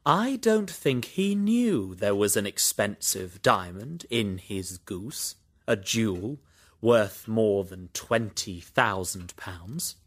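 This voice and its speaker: An actor playing a brisk, eager detective in an audiobook, polite and chatty. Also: male voice, on the low side. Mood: courteous. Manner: lively, sing-song, polite and apologetic. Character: brisk, eager middle-aged male detective. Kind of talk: audiobook character reading.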